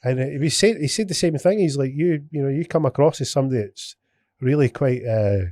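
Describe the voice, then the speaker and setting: A man's voice: mid-range voice, fairly quick, warm tone, no echo. A man with a Scottish accent hosting a casual podcast, rambling with lots of restarts, swearing and bantering. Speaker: podcast host.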